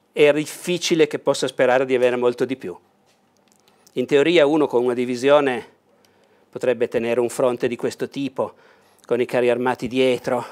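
Older man, enthusiastic board game host, animated explainer, clip-on mic indoors. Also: medium pitch. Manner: animated, leans over table and gestures while explaining. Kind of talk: enthusiastic unboxing talk. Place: bright indoor room with a large table.

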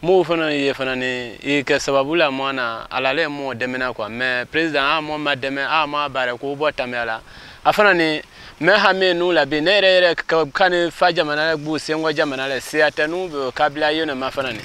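A young man from Guinea answering a street interview outdoors. He talks in an animated way and thinks aloud with repeated words. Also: fairly high pitch, fairly quick, smooth. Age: young adult. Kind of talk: vox pop answer. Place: outdoors by a dirt road.

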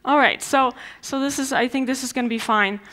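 A young woman with a Polish accent addresses a conference audience from a large dark stage. Her voice sits a little high, and she talks in a loose, conversational way, full of fillers and restarts. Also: fairly quick, rough recording. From Poland. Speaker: conference speaker. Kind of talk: conference talk.

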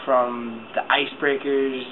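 Casual male, early twenties, mid-pitched, dull camera audio over noticeable background noise. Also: little pitch movement, takes it slowly. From Long Island, United States. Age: 20s. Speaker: college fraternity volunteer. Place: indoor lounge. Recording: muffled camera audio, noisy room.

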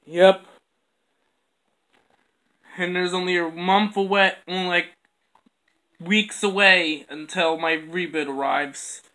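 A home video maker talking through plush toys in a quiet, halting, mumbled way. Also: mid-range voice, steady tone. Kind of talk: plush toy skit with puppet dialogue. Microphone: camera on a bedroom floor.

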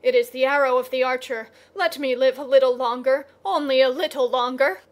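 A woman's voice: a little high, a little slow, close to an everyday voice. Storybook farmer read by a woman: ordinary mortal man, plain-spoken, loving husband. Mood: frantic.

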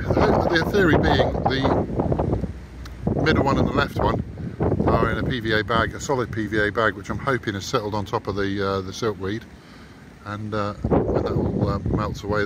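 A man's voice: steady tone, normal speed. A man, talking casually to camera as an angling vlogger, in a hesitant, rambling way. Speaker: angler vlogger. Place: in a moving car, later outdoors by a lake. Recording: noisy, wind and road rumble.

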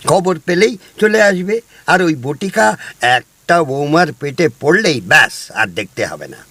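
A man's voice: fairly high pitch, big pitch swings, moderate pace, pushed and bright, dry recording, exaggerated voice. A man playing a smug, superstitious busybody neighbour in a comic audio drama, emphatic and knowing in his insinuating advice. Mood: pushy assurance.

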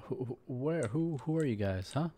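A man's voice: mid-range voice, lively intonation, moderate pace, dark tone, slight background noise. A man hosting a casual let's play, thinking aloud in a laid-back way. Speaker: gaming video host. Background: faint game music.

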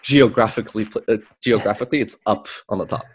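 A young man from China speaks as a guest on a video-call interview. His voice sits somewhat low, and he talks in a laid-back, easygoing way. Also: fairly even intonation, fast, slightly rough. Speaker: guest, car vlogger.

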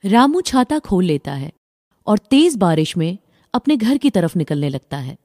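A woman's voice: lowish voice, big pitch swings, measured pace, dark tone, dry recording, clean studio sound. A woman narrating a scripted children's story as the storyteller.

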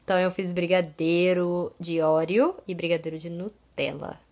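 A woman's voice: lowish voice, steady tone, moderate pace, darkish tone. Chatty young woman vlogging at home. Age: young adult. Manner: chatty, laughs often, many fillers. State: slightly stuffy from a cold. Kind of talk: casual vlog chat. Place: small bedroom at home. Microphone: phone camera at arm's length.

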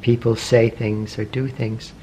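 Male meditation teacher, mid-pitched, slow and gentle, faint background hiss. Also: flat delivery, poor audio. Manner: slow, soft, gentle questioning with long pauses. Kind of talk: guided meditation.

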